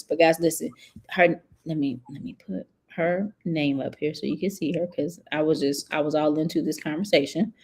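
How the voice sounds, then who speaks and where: A woman's voice: on the low side, a little mellow. A woman hosting a casual live interview, talking in an enthusiastic, chatty way. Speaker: livestream host.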